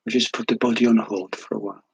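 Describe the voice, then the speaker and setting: Hushed, husky man guiding meditation. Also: on the low side, dry recording. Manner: calm, unhurried, soothing, with long pauses. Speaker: meditation guide. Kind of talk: guided meditation, slow spoken instruction.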